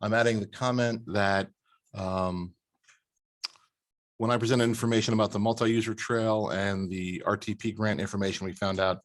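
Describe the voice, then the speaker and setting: A man's voice: on the low side, steady tone. Man chairing a town meeting. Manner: brisk procedural, with fillers.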